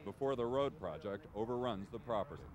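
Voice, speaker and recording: A man's voice: fairly low pitch, lively intonation, a little fast, a little mellow, a little hiss or hum. A man narrating a scripted television news feature as a reporter.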